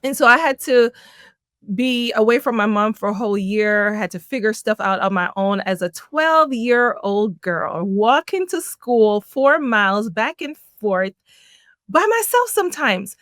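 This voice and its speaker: A middle-aged woman from Jamaica, a podcast guest telling her life story in an animated way, laughing often. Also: a little high, unhurried, no echo, studio quality. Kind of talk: personal storytelling in an interview.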